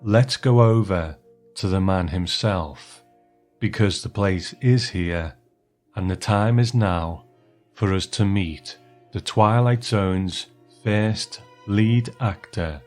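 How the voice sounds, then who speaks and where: A man hosting a podcast with a scripted introduction, speaking in an earnest, measured way. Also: fairly low pitch, a little mellow, faint music behind. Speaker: podcast host.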